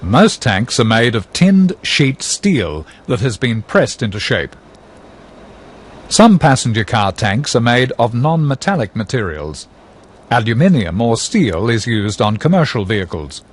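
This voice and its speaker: Husky male narrator with lively intonation. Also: medium pitch. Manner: measured, precise, explanatory. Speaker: narrator of an educational film. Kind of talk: scripted instructional narration.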